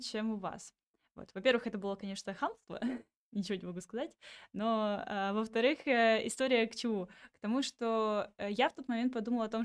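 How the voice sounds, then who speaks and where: A woman hosting a podcast, asking questions and sharing anecdotes in an easy conversational way. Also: a little high. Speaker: podcast host. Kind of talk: casual interview chat.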